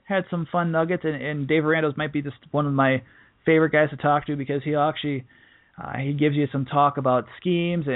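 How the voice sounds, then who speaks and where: Male sports podcaster on phone-like audio. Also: a little high. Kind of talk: casual solo game preview commentary.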